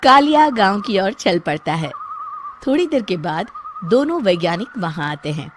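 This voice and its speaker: Lively woman story narrator. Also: medium pitch, normal speed. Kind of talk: scripted children's story narration. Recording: clearly noisy background.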